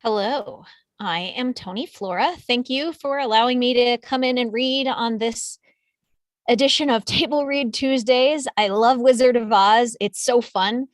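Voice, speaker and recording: High-voiced woman, close and dry sound. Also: measured pace, no room sound. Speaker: table read performer. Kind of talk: casual self-introduction.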